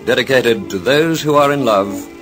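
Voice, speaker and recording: A man's voice: mid-range voice, moderate pace, low-quality recording. A man narrating a vintage radio soap opera as its announcer, with a formal, sentimental and dramatic delivery. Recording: vintage radio transcription recording with music. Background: music.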